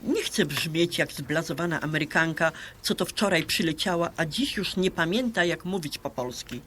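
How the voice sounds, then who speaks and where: A woman's voice: deep voice, lively intonation, normal speed, very noisy. An actress in a radio drama playing a brash, flirtatious Polish woman around sixty who banters and peppers her Polish with English words. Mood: cheeky.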